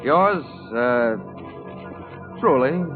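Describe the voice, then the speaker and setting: Hard-boiled investigator, American, old narrow-band radio sound, lively swinging pitch, wry and brash, punchy tough-guy lines. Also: very slow. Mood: wry, matter-of-fact. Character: wisecracking hard-boiled male insurance investigator, brash and cynical. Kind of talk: 1940s radio detective acting and first-person narration. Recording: band-limited 1940s radio recording with music.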